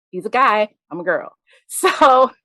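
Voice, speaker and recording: A woman hosting a podcast interview in casual chat, bubbly and quick to laugh. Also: medium pitch, lively intonation, bright, forceful tone, no room sound, low-quality recording. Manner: bubbly, laughs often, addresses listeners as y'all. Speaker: podcast host.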